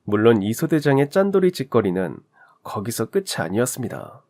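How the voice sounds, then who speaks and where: A man's voice: mid-range voice, sing-song, a little fast, dark tone. Man narrating a story in conversational tone. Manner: conversational, addresses the listener directly.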